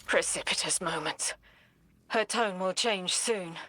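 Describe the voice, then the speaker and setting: A woman's voice: on the low side, unhurried, sharp tone. A woman voicing a haunted mystic seer in a game, fraught and cryptic, speaking in clipped poetic phrases. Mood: tense, resolute. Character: haunted, intense mystic seer fighting off possession. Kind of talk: scripted game cutscene dialogue.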